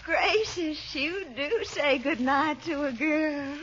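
An actress playing a flirtatious, flattering neighbor with a Southern US accent, coquettish and wheedling in 1940s radio comedy style. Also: female voice, sits high, slow pace. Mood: coy. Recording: noisy, muffled vintage radio recording.

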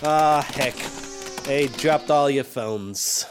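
A man's voice: mid-range voice, drawn-out words, over loud music, a loud crowd. A man playing a bumbling, overconfident firefighter chief in a comic kids' audio sketch, hesitating with "uh" as he gives orders. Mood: deflated.

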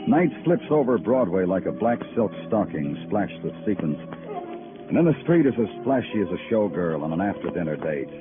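A low-voiced, world-weary American detective speaks in a terse, deadpan noir manner. The sound is a thin, tinny old radio broadcast with music around it. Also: male voice, moderate pace. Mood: brooding, world-weary. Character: hard-boiled, world-weary male Broadway police detective. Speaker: lead actor in a radio crime drama. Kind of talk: 1950s radio noir acting and narration.

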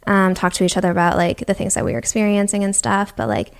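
A woman's voice: mid-range voice, expressive, fast talker, gravelly. A young woman from Massachusetts, a guest chatting casually in an interview and filling her talk with "like". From Massachusetts, USA. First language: Japanese. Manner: chatty, heavy use of "like", laughs easily.

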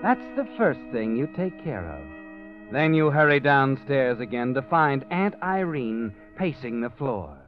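A grave radio-drama narrator. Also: male voice, medium pitch, big pitch swings. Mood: ominous. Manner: measured, ominous storyteller addressing the hero. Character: grave male narrator speaking to the hero. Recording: muffled 1940s radio recording with music.

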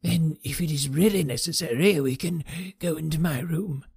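Country magistrate voiced by a male reader: irritable, grudging, raspy, pitched slightly high, storybook character. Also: normal speed, darkish tone, no room sound, studio quality. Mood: tart, grudging. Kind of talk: audiobook character dialogue.

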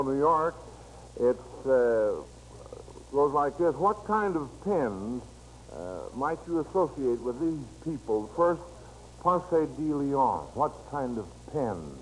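A man's voice: on the high side, animated, a little slow, slight background noise, low-quality recording. An American man hosting an old-time radio quiz show in a folksy, encouraging manner, on a vintage broadcast recording. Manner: folksy, encouraging toward child contestants.

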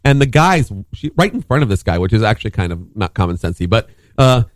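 A man's voice: lowish voice, very animated, fast, no room sound, studio quality. A man telling a long story in casual chat, rambling with lots of fillers and restarts. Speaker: podcast co-host telling a story.

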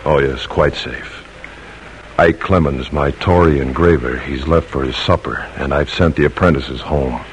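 An actor playing a loyal, modest colonial silversmith in an old radio drama, speaking in a plain, unhurried, matter-of-fact way. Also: male voice, a bass voice, slight background noise, low-quality recording. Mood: steady.

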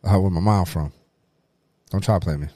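A man's voice: sits low, brisk, dark tone, dry recording. A man from Oakland co-hosting a podcast, joking and teasing in a casual chat. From Oakland, USA. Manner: joking, teasing, swears freely. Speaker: podcast co-host.

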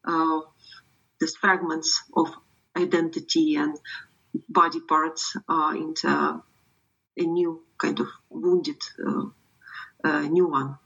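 A woman translator from Ukraine, a native Ukrainian speaker, who reads a poem and then speaks in a halting way with many pauses. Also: low voice, little pitch movement, vocal fry, a bit bright, husky, lo-fi sound. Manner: halting, hesitant speech with many pauses and fillers. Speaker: poetry translator.

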